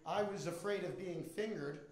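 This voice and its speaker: A man's voice: high-pitched, moderate pace, heavy background noise. A middle-aged American man performing a stand-up comedy routine on a club stage into a handheld microphone. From Miami, Florida, United States. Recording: echoey comedy club with audience. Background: live audience.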